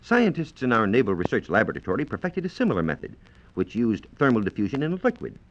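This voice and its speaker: A deep-voiced American man explains science in a formal, scripted 1940s radio style. His pitch moves in a lively way through measured sentences. The sound is dull and band-limited, from an old transcription disc. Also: slight background noise. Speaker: science reporter on a radio program.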